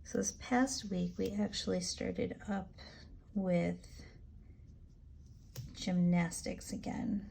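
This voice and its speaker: A woman with a raspy, allergy-hoarse voice chatting casually as she decorates her planner, relaxed and full of ums. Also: fairly low pitch, a little hiss or hum. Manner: slow, relaxed, chatty with frequent ums and asides. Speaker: planner vlogger. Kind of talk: casual plan-with-me narration.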